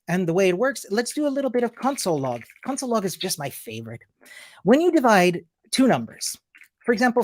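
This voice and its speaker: An upbeat, chatty woman from Chile leads a live online coding class. She greets viewers warmly and explains each step clearly while sharing her screen, talking into a computer mic. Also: medium pitch. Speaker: coding instructor. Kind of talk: live-streamed coding lesson.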